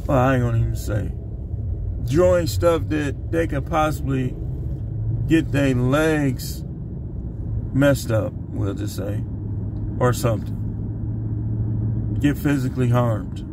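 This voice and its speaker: A man's voice: medium pitch, slow pace, long pauses. An American man in his fifties giving blunt, streetwise advice in a casual monologue, repeating phrases for emphasis, recorded on a phone inside a car. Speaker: vlogger giving relationship advice. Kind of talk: casual opinion monologue to camera. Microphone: phone at arm's length. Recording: clear car and outdoor traffic noise.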